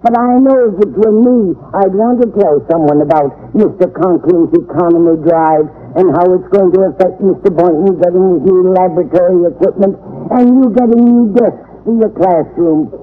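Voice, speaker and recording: An actress playing a fussy, nosy older landlady, motherly and excitable, in an old radio sitcom. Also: lowish voice, normal speed, few pauses, lightly acted. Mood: motherly, prying. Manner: motherly, fretful chatter. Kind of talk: 1940s-50s radio sitcom acting. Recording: tinny old-time radio recording.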